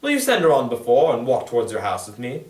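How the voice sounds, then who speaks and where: A man's voice: medium pitch, wildly swooping pitch, quick pace, a little mellow. Echoey, anguished proud noblewoman acted by a man. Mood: composed concern. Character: proud, anguished noblewoman confessing to her secret daughter. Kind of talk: dramatic literary reading. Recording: echoey room, distant microphone.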